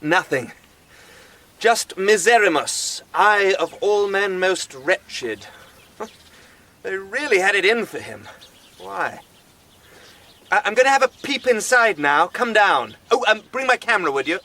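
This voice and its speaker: An actor playing a chummy, eager English amateur archaeologist in a radio drama, with an old-fashioned, enthusiastic way of talking. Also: male voice, high voice, sing-song, measured pace, long pauses, pushed and bright, full character voice. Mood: excited. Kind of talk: naturalistic radio drama acting.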